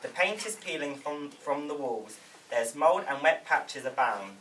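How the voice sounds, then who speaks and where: A man's voice: on the high side, lively intonation, a little slow, fairly bright tone, far from the mic. A man reading screenplay stage directions aloud at a table read. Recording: noisy room, away from the mic.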